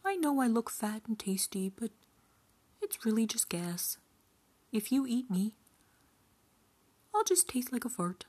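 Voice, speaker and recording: A woman's voice: on the high side, sing-song, measured pace, long pauses, mellow, breathy edge, heavily acted. A woman voicing a fat middle goat in a storybook, polite and cheeky, with a chirpy manner. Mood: cheeky, pleading.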